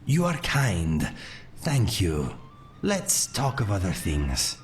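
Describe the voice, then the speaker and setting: A man voicing a wistful, courteous male cat-folk adventurer in a video game, with formal, gentle phrasing. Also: low-pitched, huge pitch swings, takes it slowly, soft background music, exaggerated voice. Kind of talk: video game character acting.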